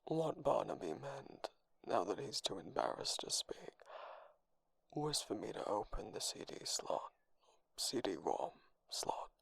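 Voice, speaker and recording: A dry male robot companion, acted out by a man, speaking formally in an ASMR roleplay. The voice is whispery and gravelly, very close and dry, with measured, deliberate words. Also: fairly low pitch, dry recording, voice pushed down, exaggerated voice, rough recording. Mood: deadpan, explanatory. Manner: flat emotional delivery, measured, formal. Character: dry, deadpan male robot computer boyfriend.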